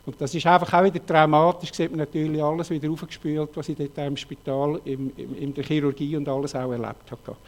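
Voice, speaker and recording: A man in his late fifties with a Swiss German accent, frankly telling his own story in a talk, halting often with fillers. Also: a little high, flat delivery. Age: 50s. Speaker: speaker giving a personal testimony. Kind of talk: personal testimony talk with slides.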